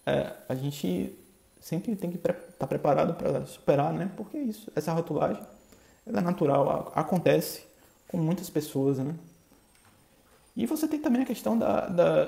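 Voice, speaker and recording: A man from Bahia with a Bahian accent, hosting a podcast in a halting, casual monologue full of filler tags. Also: fairly high pitch, gravelly, warm tone. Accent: Bahian Brazilian Portuguese. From Bahia, Brazil. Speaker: podcast host. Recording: echoey room.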